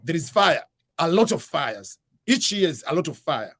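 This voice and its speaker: A man's voice: a little high, big pitch swings, normal speed, fairly bright tone, breathy edge, no echo. A man hosting a livestream, answering viewer questions in an informal, rambling way, often saying "uh" and "whatever.". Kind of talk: casual advice chat.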